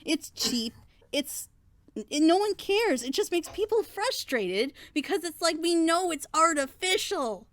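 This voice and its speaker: A woman's voice: very high-pitched, lively intonation, measured pace. A woman talking casually and critically in a panel discussion, with an emphatic, exasperated manner. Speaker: reaction show panelist.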